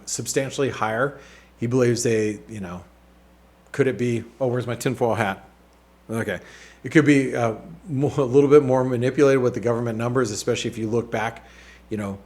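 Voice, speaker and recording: A man's voice: mid-range voice, darkish tone. A man hosting a weekly market outlook, talking casually over charts with many fillers, restarts and wry asides. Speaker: market analyst host.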